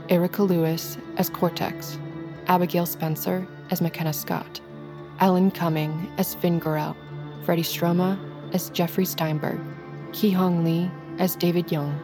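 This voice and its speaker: A woman announcer giving a scripted reading of credits. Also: fairly low pitch. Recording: music behind the voice.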